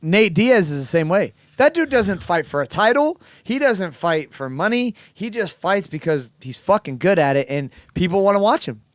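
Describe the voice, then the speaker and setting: Male co-host, high voice, dry mic, emphatic and profane. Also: very animated, normal speed, few pauses, no room sound. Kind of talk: casual chat.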